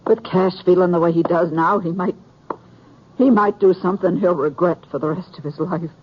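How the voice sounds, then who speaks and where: A woman's voice: on the low side, normal speed, a little hiss or hum, natural voice. An American woman playing a worried, earnest frontier woman in a 1950s radio western, speaking with urgent pleading. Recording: muffled old radio recording.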